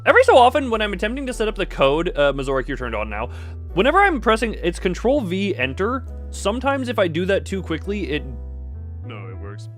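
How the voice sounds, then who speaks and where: A man hosts a casual livestream in a slightly high voice that moves around a lot in pitch. He talks to his viewers playfully, slipping into mock drama. Faint music plays behind him. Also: wildly swooping pitch, a little fast, fairly bright tone. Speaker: livestream host.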